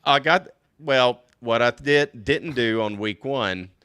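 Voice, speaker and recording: A man's voice: medium pitch, slow, pushed and bright, clean tone. A man co-hosting a podcast from a hotel room over a video call, losing his voice and laughing often. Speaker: podcast co-host. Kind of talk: casual chat.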